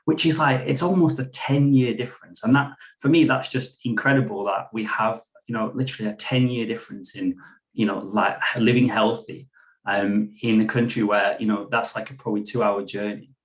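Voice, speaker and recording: A man's voice: on the low side, steady tone, fairly quick, low-quality recording. A young man, co-hosting a casual political discussion in an earnest, rambling way full of "you know". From Manchester, England. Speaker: podcast co-host.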